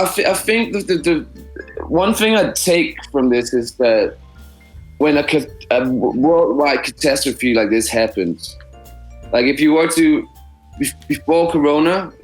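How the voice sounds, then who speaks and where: Halting man, musician guest. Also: mid-range voice, low-quality recording. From Denmark. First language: Danish. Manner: halting, many restarts and repeats. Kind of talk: casual interview answers.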